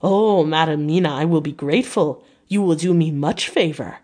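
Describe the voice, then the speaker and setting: A woman's voice: deep voice, normal speed, a little mellow. An old doctor from a gothic audiobook, acted out by a woman. He is grave yet effusive and kindly, stressing his words in formal, broken English. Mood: courteous.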